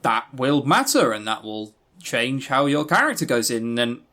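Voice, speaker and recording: A man's voice: mid-range voice, very animated, moderate pace, pushed and bright. A man hosting a podcast in casual, rambling review talk, wry and self-deprecating, swearing freely and stressing key words. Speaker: podcast host reviewing visual novels.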